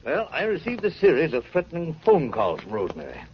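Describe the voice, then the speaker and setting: Animated male voice, glib cowardly politician, fussy, ingratiating, 1940s radio play, dull sound. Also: fairly high pitch, fast. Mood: smug, chatty. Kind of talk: 1940s radio drama acting. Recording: dull old radio recording, hiss.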